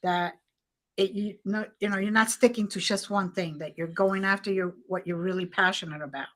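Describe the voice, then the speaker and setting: A woman in her sixties hosting an interview, speaking in a slow, drawn-out, affectionate way. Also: mid-range voice, fairly even intonation, a bit bright. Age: 60s. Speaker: host. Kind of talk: casual interview chat.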